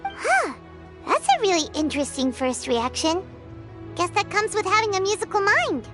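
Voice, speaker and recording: A woman voicing a small, eager, bubbly childlike fairy companion in a video game, squeaky and chatty. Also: big pitch swings, moderate pace. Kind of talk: video game character acting. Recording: loud music behind the voice. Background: loud tinkling game music.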